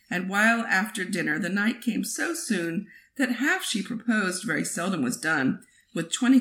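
A woman reads poetry aloud expressively, with measured, metrical phrasing, as a poet would. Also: mid-range voice, a bit bright. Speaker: poet reading a poem aloud. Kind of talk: expressive poetry reading with brief asides.

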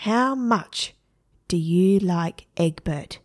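A woman's voice: on the low side, expressive, extremely slow, exaggerated voice. A woman doing a bossy scheming prankster boy. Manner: bossy, impatient. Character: scheming young male prankster, bossy and impatient.

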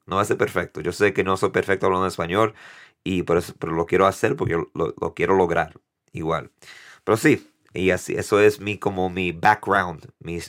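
Deep-voiced man, Dominican-gringo Spanish. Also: a bit bright. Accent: mix of Dominican Spanish and American English. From New York, United States. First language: English. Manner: halting, self-correcting, laughs at himself. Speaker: podcast host. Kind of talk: casual solo podcast.

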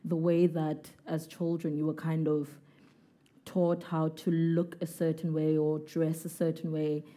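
A South African woman in her twenties, a guest in an interview, who answers in a relaxed, hesitant way with many fillers. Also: sits low, unhurried. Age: 20s.

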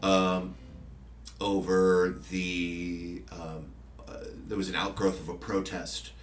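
A very deep-voiced man telling stories from his podcasting work, hesitant and full of fillers and restarts. He sounds roomy and set back from the mic, with faint music underneath. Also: little pitch movement, takes it slowly, distant mic, slight background noise. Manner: rambling, many fillers and restarts. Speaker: podcaster and journalist. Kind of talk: casual conversational interview.

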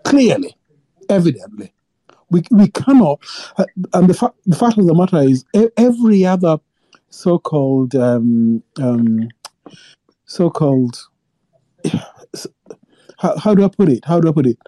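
A man calling in to air his views, his voice very dark and mellow and set fairly high. He stammers and restarts again and again as he presses his argument. The sound is dry and close. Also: sing-song, no room sound. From Africa. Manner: halting, many repeated words and restarts. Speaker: caller. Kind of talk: impassioned phone-in opinion.

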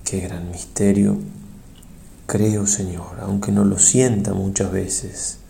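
Male priest doing guided meditation, creaky low end, really even tone, reverent. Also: slight background noise. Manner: reverent, measured, pausing between short phrases. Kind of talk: guided prayer meditation, solemn and devotional.

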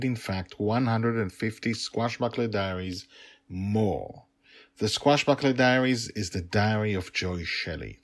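A middle-aged man narrating a story podcast as its host and author, deliberate, with dramatic pauses and emphatic repetition. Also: on the low side.

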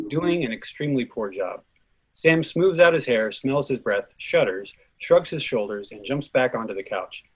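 Husky man reading stage directions. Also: medium pitch, low-quality recording. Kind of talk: scripted stage-direction narration.

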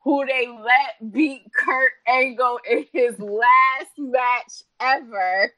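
A woman's voice: high voice, drawn-out words, pushed and bright, dry recording, rough recording. A woman co-hosting a wrestling podcast, blunt and sardonic, swearing freely in casual chat. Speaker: podcast co-host.

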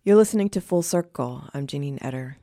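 A woman narrates a scripted radio feature. Her voice is notably low and dark, recorded very dry. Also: lively intonation, brisk, no echo. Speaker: radio producer and reporter.

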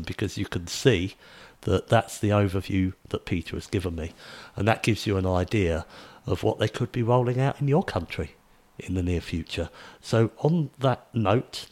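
A man hosting a talk show, introducing the topic and his guest in a measured, direct way. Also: fairly low pitch, a little mellow. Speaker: show host.

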